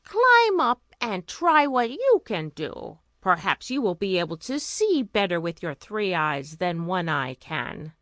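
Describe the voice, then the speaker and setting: A woman's voice: medium pitch, sing-song, a little slow, few pauses, fairly bright tone, no room sound, studio quality. A woman voicing a demanding storybook mother who gives orders in a commanding way. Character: demanding mother ordering her daughters about. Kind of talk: storybook character voice.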